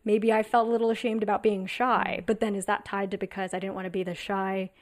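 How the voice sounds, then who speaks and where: Woman comedian, casual panel chat, quick and musing with lots of fillers. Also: mid-range voice, flat delivery.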